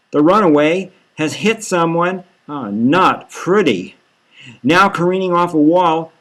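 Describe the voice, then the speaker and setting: A man voicing an excitable news reporter machine giving live commentary on a chase in an audiobook. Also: a little high.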